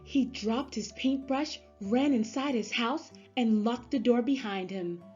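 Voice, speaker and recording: A woman narrating a children's story aloud in an expressive storybook-reader style. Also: a little high, a little slow, slightly rough. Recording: slightly dull sound, music underneath. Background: music.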